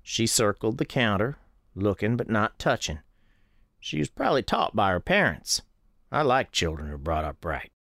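Actor as folksy old country storekeeper, animated delivery. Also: male voice, on the low side, vocal fry, no room sound, studio quality, exaggerated voice. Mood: calm, observant. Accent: American. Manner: folksy, unhurried storytelling. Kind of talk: first-person scripted storytelling in radio drama.

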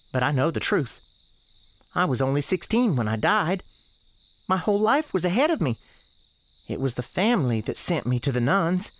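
A woman playing the restless, defiant ghost of a sixteen-year-old novice nun in a radio drama, earnest and plaintive, with folksy phrasing. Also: sits low. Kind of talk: dramatic radio theatre dialogue.

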